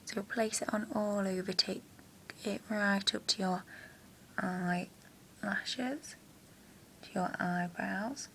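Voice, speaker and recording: A young woman with a croaky voice, chatting casually through a makeup tutorial at home with hesitant fillers. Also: medium pitch, fairly even intonation, slow, pauses often, a little hiss or hum. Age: young adult. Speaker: makeup vlogger. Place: small room at home, indoors. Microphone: built-in camera mic at close range.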